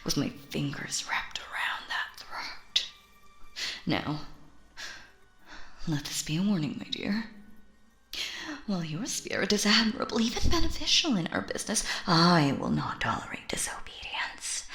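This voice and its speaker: A woman acting out a sinister, possessive stage magician in an intimate audio roleplay, cooing endearments theatrically and slipping into breathy, menacing whispers. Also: fairly low pitch, big pitch swings, measured pace, pauses often, a bit bright, reverberant, faint music behind, full character voice. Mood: menacing, scolding.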